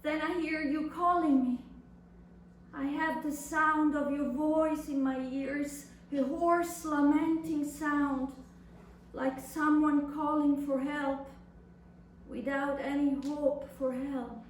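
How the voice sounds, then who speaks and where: Echoey, high-voiced woman acting an anguished daughter. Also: fairly even intonation. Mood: anguished, remorseful. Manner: impassioned, deliberate, theatrical delivery with long pauses. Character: anguished young adult daughter addressing her suffering mother. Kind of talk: dramatic literary monologue reading on stage. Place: reverberant indoor venue at a live reading. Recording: echoey room, distant mic, faint noise.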